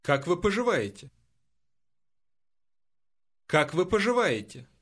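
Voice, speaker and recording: Man, model speaker, higher pitch, animated intonation. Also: takes it slowly. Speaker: native speaker model in language course. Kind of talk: slow model phrases.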